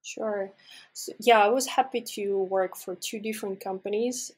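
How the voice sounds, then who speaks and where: Husky young woman from Russia. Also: mid-range voice, fairly even intonation, moderate pace, a little mellow, poor audio. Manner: friendly, explains step by step with fillers. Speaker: podcast guest. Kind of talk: casual interview answers.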